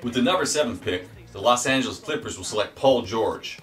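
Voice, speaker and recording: A man giving a wry, deadpan sports commentary, auditioning as a sportscaster. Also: medium pitch, brisk, recorded from a distance. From Canada. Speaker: aspiring sportscaster.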